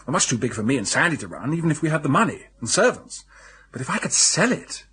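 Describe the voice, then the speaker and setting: An actor playing a hard-up, lovelorn young English writer, hesitant, rueful and well-bred, in a radio drama. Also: male voice, a little high, sing-song, fast talker, sharp tone. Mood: aggrieved. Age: young adult.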